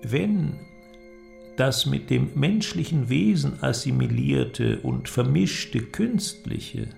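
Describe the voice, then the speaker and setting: An actor playing an eloquent, persuasive inventor in a radio drama, with a declamatory, deliberate delivery and ornate phrasing. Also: male voice, mid-range voice, animated, creaky, a little mellow, faint music behind, full character voice. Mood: rhetorical, urging. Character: eloquent visionary male inventor, grandiose and persuasive. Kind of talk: German literary radio drama acting.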